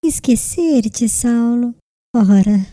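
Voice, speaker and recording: A woman playing a gentle, devout, frail young bride in a dramatised audiobook, speaking tenderly and humbly in solemn literary phrases. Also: on the high side, animated, very slow, dry recording. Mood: tender devotion.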